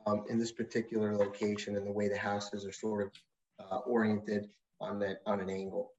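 A man advising a zoning board on a video call, explaining in a measured, hedging way with frequent restarts. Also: lowish voice, flat delivery, darkish tone. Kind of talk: explaining at a public meeting. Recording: muffled video-call audio.

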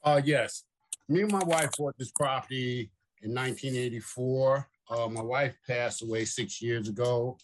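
An older man testifying to a zoning board on a video call, plain and halting. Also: medium pitch, poor audio. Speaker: applicant before a zoning board. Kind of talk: testimony at a public meeting.

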